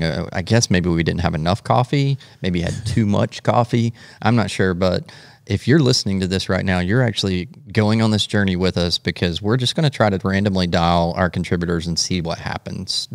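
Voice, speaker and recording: Male podcast co-host, low and gravelly, conversational delivery, dry sound. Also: no echo. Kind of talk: casual podcast hosting.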